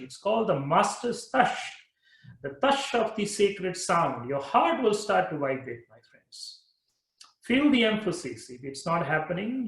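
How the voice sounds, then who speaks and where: A man leading a guided mantra meditation, talking slowly and repeating phrases in a soothing way. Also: high-pitched, fairly bright tone, reverberant, rough recording. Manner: slow, repetitive, soothing guidance. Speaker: meditation teacher.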